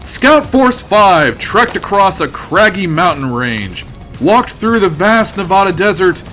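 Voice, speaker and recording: A man's voice: high-pitched, big pitch swings, unhurried, sharp tone, faint music behind, heavily acted. A man playing a wry storyteller, delivering dramatic comic narration for a sci-fi audio adventure.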